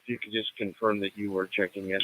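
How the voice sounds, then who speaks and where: A man's voice: lowish voice, flat delivery, fast, a bit bright, poor audio. An American man acting as net control, running a radio roll call in a formal, procedural manner.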